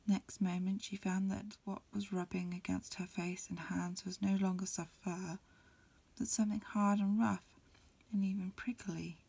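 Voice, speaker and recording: A woman reading a storybook aloud as ASMR in a breathy, mostly whispered voice, a little hesitant, with small stumbles. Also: mid-range voice, level tone, unhurried, warm tone. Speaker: amateur reader. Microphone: close recording, lo-fi home setup.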